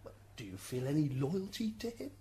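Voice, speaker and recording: A woman's voice: low-pitched, big pitch swings, fairly quick, mellow, a little hiss or hum. A woman playing a courteous, slightly flustered and wry defence lawyer in a radio drama. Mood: wry, reassuring. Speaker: defence advocate.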